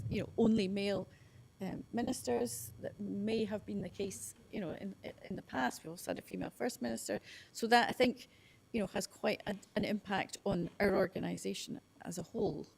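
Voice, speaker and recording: A woman's voice: mid-range voice, fairly even intonation, moderate pace, vocal fry, darkish tone, slight background noise. A woman speaking as a panelist at a conference, hesitant with many fillers. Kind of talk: panel discussion answer.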